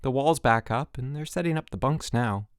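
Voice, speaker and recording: A narrator voicing a cheeky, excitable boy at summer camp, the lively sidekick in a children's story. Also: medium pitch, moderate pace, clean tone, no echo, clean studio sound, voice pushed up, natural voice. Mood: amused, eager. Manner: lively, jeering.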